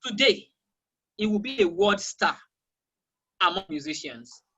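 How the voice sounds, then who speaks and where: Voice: mid-range voice, expressive, a little slow, a bit bright, slightly rough, dull audio. A teacher from Nigeria with a Nigerian accent, a Yoruba speaker, answering interview questions emphatically and repeating key phrases over a video call. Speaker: guest teacher of English.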